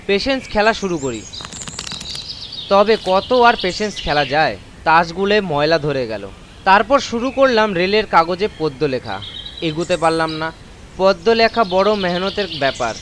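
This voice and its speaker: A man's voice: sits high, noisy background. A man narrating a first-person horror story in a dramatised audiobook style. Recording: constant nature ambience effects behind voice.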